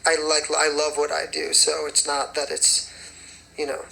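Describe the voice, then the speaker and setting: A raspy young man, a singer and choreographer, chatting casually on a phone livestream and rambling with many fillers. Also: on the high side, completely flat delivery, moderate pace, pushed and bright, rough recording. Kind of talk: casual livestream chat answering comments.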